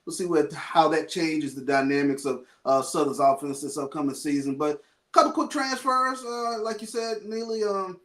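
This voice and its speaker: Bright-voiced man giving sports updates. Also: on the high side. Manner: measured, thinking aloud with fillers. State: has a cold. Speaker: sports reporter. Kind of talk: casual sports report.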